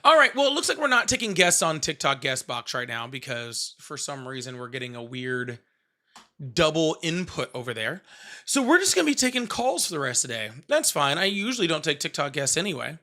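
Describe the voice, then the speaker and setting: A man's voice: fairly high pitch, big pitch swings, fairly quick, bright, forceful tone. A man in his thirties hosting a live call-in stream, blunt and joking as he challenges callers. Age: 30s. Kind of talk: casual live call-in chat.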